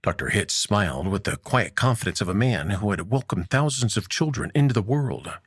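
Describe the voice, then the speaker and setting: A man narrating a scripted audio story as its host, in a measured, dramatic way with deliberate pauses. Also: lowish voice, lively intonation, slightly rough, no room sound, studio quality.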